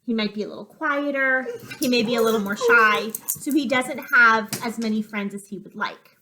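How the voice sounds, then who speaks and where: Higher-voiced woman teaching through a read-aloud. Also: moderate pace, bright, forceful tone, a little hiss or hum. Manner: simple, explanatory phrasing for young children. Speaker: teacher reading a picture book aloud. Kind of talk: reading lesson with storybook read-aloud and asides.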